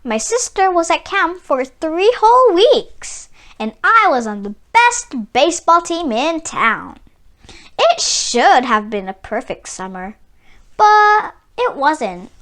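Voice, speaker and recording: Woman, high voice, very animated pitch, expressive children's storybook read-aloud, emphatic delivery. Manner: theatrical, emphatic, drawn-out key words. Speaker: children's book reader.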